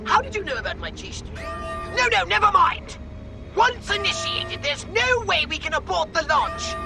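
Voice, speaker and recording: An actor playing a bossy, excitable alien commander in a video game, shrill and melodramatic. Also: male voice, moderate pace, vocal fry, over music, full character voice, low-quality recording. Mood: exasperated urgency. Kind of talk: video game cutscene acting.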